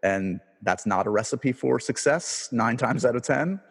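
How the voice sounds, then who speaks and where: A man hosting an interview podcast, asking questions in a relaxed, hedging way full of "like" and "uh". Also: medium pitch, unhurried, creaky, breathy edge, big-room sound. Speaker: podcast host. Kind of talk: casual interview chat.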